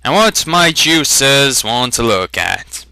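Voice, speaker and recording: A smooth, scheming-polite trader from a fantasy storybook, played by a male reader. His voice is crisp and very bright, with slow, theatrical sales patter. He speaks with courtly formality. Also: medium pitch, big pitch swings, no echo, voice pushed up, exaggerated voice. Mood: salesman charm. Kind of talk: fantasy audiobook character reading.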